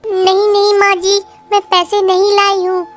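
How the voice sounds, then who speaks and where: A woman's voice: very high voice, level tone, measured pace, soft background music. A woman voicing a long-suffering young mother bird in a children's cartoon, plaintive and melodramatic. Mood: distressed pleading. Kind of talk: Hindi children's cartoon dialogue.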